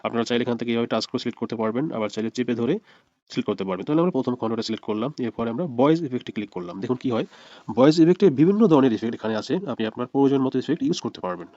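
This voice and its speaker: Very fast-talking man giving a tutorial. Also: medium pitch, animated, mellow, dry recording, lo-fi sound. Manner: explanatory, repeats points step by step. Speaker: video editing instructor. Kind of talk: tutorial screen-recording narration.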